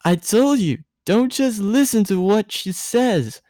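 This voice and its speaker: A man's voice: high voice, lively intonation, slow pace, warm tone, dry recording, studio quality, voice pushed up. A man voicing a tipsy, drowsy woman guardian who rambles and teases with slurred, emphatic speech in a story reading. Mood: drunken insistence.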